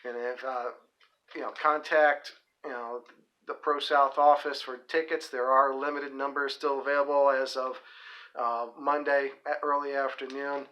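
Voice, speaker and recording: A man's voice: medium pitch, little pitch movement, unhurried, bright, forceful tone, poor audio. A man hosting a casual solo report on upcoming wrestling shows, rambling with fillers, restarts and folksy asides. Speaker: host of a wrestling events report.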